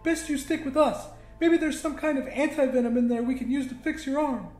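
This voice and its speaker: A man's voice: extremely high pitch, a little fast, a little mellow, echoey room, soft background music, voice pushed up. A man voicing a tough, practical young woman with an insistent manner in a narrated story.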